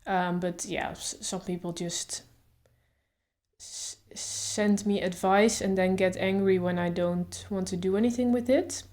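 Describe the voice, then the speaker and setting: Woman who stutters, flat even voice. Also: mid-range voice, unhurried. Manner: stutters openly with blocks and repeated sounds; calm, reflective, thinks aloud with fillers. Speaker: vlogger. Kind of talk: casual talk to camera.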